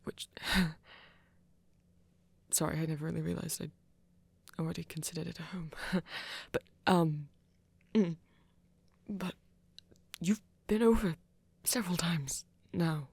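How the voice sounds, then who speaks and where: A woman playing a flirty, courtly vampire in an intimate audio roleplay, soft and fond with slightly formal phrasing. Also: fairly low pitch, steady tone, takes it slowly, creaky, long pauses, slightly rough, no echo, full character voice. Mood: fond, bashful.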